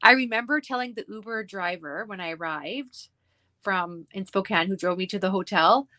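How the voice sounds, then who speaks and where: A woman's voice: mid-range voice, moderate pace, sharp tone, dry recording. A woman with a Canadian accent chatting casually in a giggly, rambling way as she hosts a craft stream. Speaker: craft livestream host. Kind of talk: casual chat while crafting.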